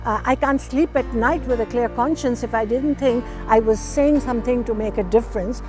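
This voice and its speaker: A woman's voice: fairly high pitch, normal speed, over music. A woman talking conversationally as an activist in a documentary interview.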